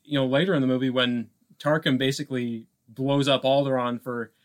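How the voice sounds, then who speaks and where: A man co-hosting a podcast, giving casual film commentary in a halting, thoughtful, wordy way. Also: mid-range voice, steady tone. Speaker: podcast co-host.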